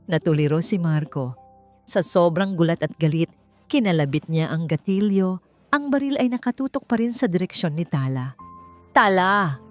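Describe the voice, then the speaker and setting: A woman narrates a scripted romantic drama in a lowish voice. She reads as a storyteller, with soft music running behind her. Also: animated, normal speed, no echo. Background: background music.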